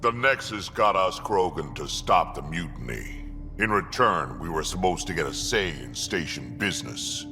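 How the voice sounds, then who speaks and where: An actor playing an ancient, blunt alien mercenary and grandfather with a gravelly voice in a video game. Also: low voice, animated, normal speed, pushed and bright, long reverb tail, soft background music, heavily acted. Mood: gruff, distrustful. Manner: blunt, coarse. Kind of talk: video game character dialogue.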